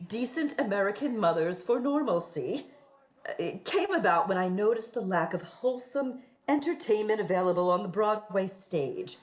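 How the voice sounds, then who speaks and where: A woman's voice: medium pitch, lively intonation, moderate pace, close to an everyday voice. A woman playing a scheming, prim widow in a radio play, with a florid, sanctimonious and affected delivery. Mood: haughty, prim. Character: scheming widow posing as prim, melodramatic moral crusader. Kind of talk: theatrical radio play acting.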